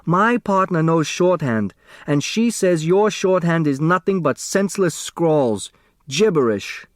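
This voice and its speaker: A man's voice: fairly high pitch, expressive, a little slow, dry recording, studio quality, voice pushed up. A man voicing an indignant, blustering male restaurant owner in an audiobook, blunt and defensive.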